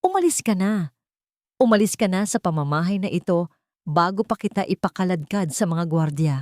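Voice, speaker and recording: A woman voicing a cold, furious young man who shouts and turns away his old nanny. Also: fairly low pitch, animated, moderate pace, no echo, studio quality, close to an everyday voice. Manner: shouting, harsh.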